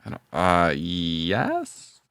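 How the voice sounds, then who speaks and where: A man's voice: sits low, huge pitch swings, very slow, fairly bright tone, clean tone, dry recording, clean studio sound. A man co-hosting a casual podcast who thinks aloud, hedging his guesses and joking. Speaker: podcast co-host.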